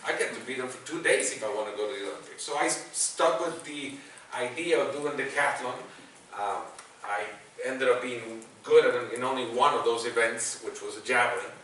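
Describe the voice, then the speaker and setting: A man in his forties speaks English with a Salvadoran Spanish accent, chatting easily to a class and poking fun at himself. His voice is bright and forceful, heard from a distance through a very echoey classroom with a faint hiss behind it. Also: mid-range voice, normal speed. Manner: self-deprecating, conversational, restarts often. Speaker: guest speaker, sports broadcaster. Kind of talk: public talk to students. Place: university classroom.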